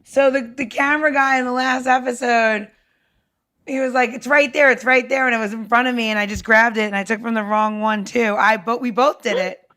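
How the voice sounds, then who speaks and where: A woman chatting casually as a guest chef, telling stories in a blunt, excitable, sweary way. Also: fairly high pitch, a little fast. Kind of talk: casual chat, storytelling.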